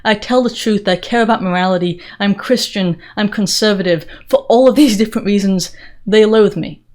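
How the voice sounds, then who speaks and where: A woman's voice: medium pitch, moderate pace, darkish tone. A woman from England with an accent mixing Northern English and Southern American, speaking earnestly and emphatically straight to viewers as an opinionated commentary host. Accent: mix of Northern English and Southern US. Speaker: political commentary video host. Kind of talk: direct-to-viewer channel introduction monologue.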